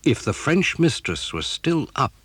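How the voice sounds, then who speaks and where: A man's voice: medium pitch, sing-song, a little slow, a bit bright, breathy edge, no room sound. A man narrating a children's story as dramatic scripted storytelling. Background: music.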